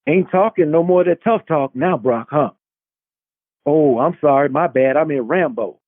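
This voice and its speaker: A man's voice: fairly high pitch, moderate pace, no echo, narrow, tinny audio, natural voice. A man voicing a cocky, streetwise security guard in a dramatic novel reading, sarcastic and slangy, on a band-limited recording. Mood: mocking.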